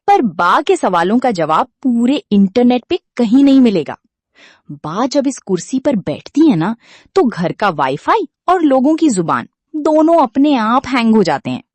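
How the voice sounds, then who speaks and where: A woman's voice: a little high, a little mellow, dry recording. A woman playing a cheeky modern daughter-in-law who narrates a family cartoon in a playful, dramatic way. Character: cheeky, modern young daughter-in-law introducing her household. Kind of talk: scripted storytelling addressed to viewers.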